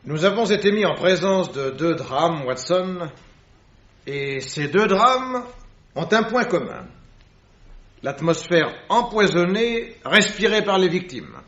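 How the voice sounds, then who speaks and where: An actor playing a self-assured, analytical gentleman detective with dry wit in a French radio drama, speaking deliberately and formally. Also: high-pitched, animated, lo-fi sound, heavily acted. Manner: deliberate, precise, formal phrasing.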